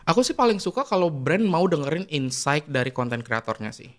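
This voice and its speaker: Pria Indonesia bicara sangat cepat, nada agak tinggi. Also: male voice, a little high, sing-song, brisk. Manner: informal, mixes English terms into talk. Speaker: guest, skincare content creator. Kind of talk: casual podcast interview chat.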